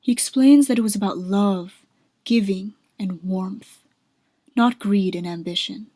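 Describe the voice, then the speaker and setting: A woman's voice: medium pitch, a little slow, dark tone, no echo. Woman narrator, dry close sound. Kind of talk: scripted storytelling narration.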